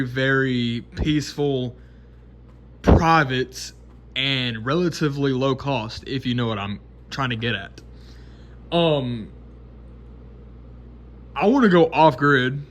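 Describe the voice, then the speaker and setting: Young man, 20, rambling vlog monologue, slightly high-pitched. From Mississippi, American South. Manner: rambling, many restarts and fillers. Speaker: vlogger. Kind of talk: casual unscripted monologue.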